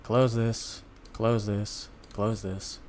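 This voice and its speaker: Male tutorial presenter, lowish voice, informal and halting step-by-step delivery, some background noise. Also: level tone, a little mellow. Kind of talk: casual unscripted software tutorial.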